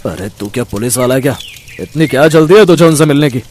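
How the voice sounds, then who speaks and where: A man voicing a gruff, bossy, slangy street gangster in a cartoon story. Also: medium pitch, lively intonation, quick pace, darkish tone, a little hiss or hum, lightly acted. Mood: irritated. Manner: brusque, slangy. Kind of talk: Hindi cartoon moral story.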